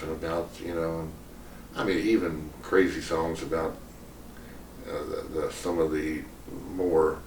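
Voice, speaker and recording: An older man speaks slowly and haltingly at a middling pitch, in a reflective, rambling interview style. His voice is picked up from a distance in an echoey room. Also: slight background noise. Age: older, 70s or above. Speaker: singer-songwriter guest. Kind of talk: reflective, unscripted talk in an interview.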